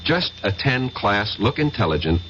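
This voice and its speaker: Casting: weary, skeptical professor in old radio play; swooping, animated delivery, deliberate pauses, noisy broadcast sound. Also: male voice, lowish voice, highs cut off. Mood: dismissive. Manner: deliberate, dramatic pauses, halting. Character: professor, a weary skeptical man, recounting strange events. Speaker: actor in radio drama. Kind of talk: 1970s radio drama acting.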